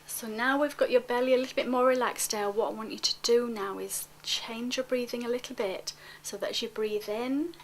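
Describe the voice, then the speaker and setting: A woman coaching a guided relaxation, speaking gently and unhurriedly, with soft phrase endings that often sink toward a whisper. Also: a little high, fairly even intonation, a little fast, few pauses, a little hiss or hum. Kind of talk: guided relaxation, spoken softly to one listener.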